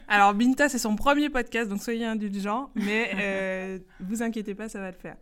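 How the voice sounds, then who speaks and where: A woman hosting a podcast interview, upbeat and playful, laughing often and firing off quick questions. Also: mid-range voice, steady tone, a bit bright. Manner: quick-fire quiz questions. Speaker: podcast host interviewing a guest. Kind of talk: casual interview chat.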